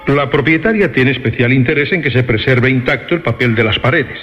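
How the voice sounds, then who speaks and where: A man acts out a courteous rental agent in a suspense radio play. He is formal and deliberate, gently insistent, at a middling pitch. The sound is reverberant and slightly dull, with faint music behind. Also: lightly acted. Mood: formal warning. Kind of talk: Spanish-language suspense radio drama acting. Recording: band-limited, long reverb, faint music.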